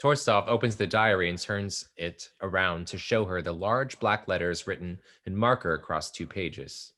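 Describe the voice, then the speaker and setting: Deep voiced guy reading stage directions out loud, plain and steady. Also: moderate pace. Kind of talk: play table read.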